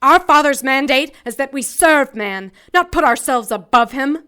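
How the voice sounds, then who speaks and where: A woman's voice: high-pitched, animated, moderate pace, pushed and bright. A woman playing a principled, defiant angel in an audio drama, speaking firmly and formally. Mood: indignant. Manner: firm, formal, dignified. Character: principled, defiant female angel, calm and steadfast.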